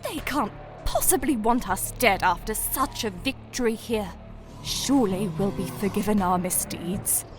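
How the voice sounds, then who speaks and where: A woman playing a hard, imperious dark elf commander in a fantasy audio drama, speaking with dramatic authority. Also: medium pitch, lively intonation, unhurried, close to an everyday voice. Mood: anxious denial. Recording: music behind the voice.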